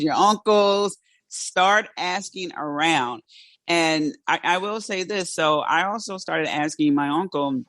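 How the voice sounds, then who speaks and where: A woman's voice: lowish voice, fairly bright tone, dry recording. A woman host with a South Philadelphia accent, chatting casually and laughing often, her speech a little thick from dental brackets. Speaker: podcast host. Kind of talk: casual interview chat.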